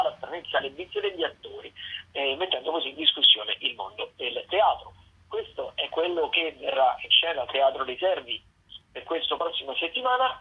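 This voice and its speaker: Husky radio presenter on a phone-like line. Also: mid-range voice. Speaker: radio presenter of theatre listings. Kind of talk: semi-scripted spoken rundown of theatre listings.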